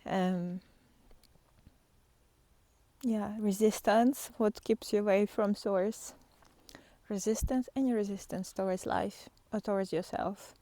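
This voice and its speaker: A woman giving an unscripted, reflective spiritual monologue, thinking aloud with pauses and earnestly repeating key phrases. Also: mid-range voice, steady tone, dark tone, no room sound. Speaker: spiritual teacher and artist.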